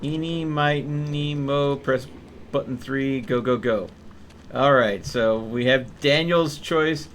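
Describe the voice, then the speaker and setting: A man's voice: medium pitch, takes it slowly, a little hiss or hum. A man live-streaming a game, chatting casually with viewers and thinking aloud.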